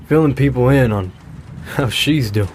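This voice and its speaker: Actor as a grieving father, halting. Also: medium pitch, darkish tone, a little hiss or hum, lightly acted. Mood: subdued, candid. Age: middle-aged. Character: grieving, caring widowed father of a daughter. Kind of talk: naturalistic audio drama acting.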